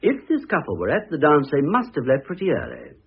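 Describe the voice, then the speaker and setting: A middle-aged man with a British accent acting in a classic radio drama as a peevish, gossipy gentleman admirer, chatty and blustering in a theatrical way. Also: on the high side, huge pitch swings, fairly quick, creaky, slightly rough, full character voice. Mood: amused, conspiratorial. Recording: muffled, tinny old radio recording.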